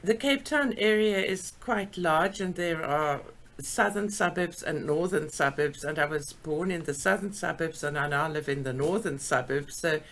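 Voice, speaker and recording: An older woman with a South African English accent, hosting a chatty video call from home, enthusiastic and quick to laugh. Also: low voice, fairly bright tone. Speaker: host. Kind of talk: casual chat. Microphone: video call with earphones.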